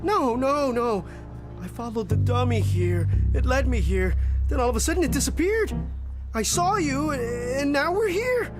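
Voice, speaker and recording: A frantic ventriloquist in an audio horror drama, with a high, swooping voice. The delivery is paranoid and pleading, repeating itself breathlessly over prominent music. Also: female voice, animated, moderate pace. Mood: suspicious, pressing. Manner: pleading, accusing, breathless repetition. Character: frantic, paranoid rival ventriloquist fearing a living dummy. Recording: music behind the voice.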